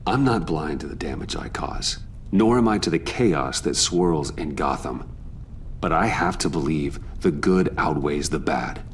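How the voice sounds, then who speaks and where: Brooding hero, low voice, lively inflection, earnest, restrained, determined, game drama, faint rumble. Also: male voice, measured pace. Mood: earnest concern. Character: brooding, determined adult male vigilante billionaire. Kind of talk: dramatic video game acting.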